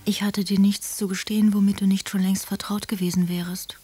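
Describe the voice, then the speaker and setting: An actress playing a frail, dying older woman, solemn and wounded, in a hushed radio drama reading of letters. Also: mid-range voice, level tone, no room sound, faint music behind, close to an everyday voice. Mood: solemn, earnest. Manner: hushed, breathy, measured and earnest. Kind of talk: German radio drama, letters read in character.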